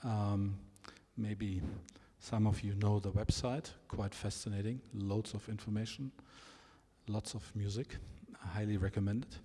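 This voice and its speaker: Slow, mellow male moderator. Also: fairly low pitch, steady tone, breathy edge. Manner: hesitant, with frequent fillers. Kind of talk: panel introduction. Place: large exhibition hall. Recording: long hall reverb.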